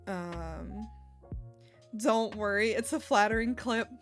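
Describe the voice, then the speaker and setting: A woman's voice: mid-range voice, takes it slowly, soft background music. A woman, chatting casually on a livestream while she works, laughing often and swearing offhand. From Netherlands. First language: Dutch. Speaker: streamer.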